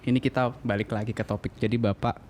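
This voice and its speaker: A man hosting a casual comic parody interview, bantering and throwing in quick repeated acknowledgements. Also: lowish voice, level tone, darkish tone. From Indonesia. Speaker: interviewer, host. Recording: clear background noise.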